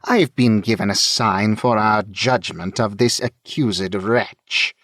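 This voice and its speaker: A man's voice: on the low side, slow, a bit bright, no room sound, clean studio sound, full character voice. A man voicing a haughty, impatient female mage with an imperious, curt manner in a fantasy audiobook. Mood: proclaiming, grand. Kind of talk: fantasy audiobook character acting.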